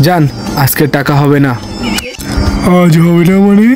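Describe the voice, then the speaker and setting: A man's voice: on the high side, a little slow, over music, lightly acted. A man playing an exasperated, theatrical, complaining character in a comic cartoon. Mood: pushy, annoyed. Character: harried, broke, grumbling husband and pushy loan instalment collector. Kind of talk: comic Bengali cartoon dialogue.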